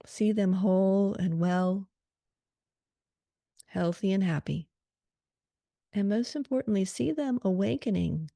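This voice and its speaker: A woman leading a guided meditation prayer in a calm, soothing manner, leaving gentle pauses between short phrases. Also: lowish voice, gravelly, dry recording, studio quality.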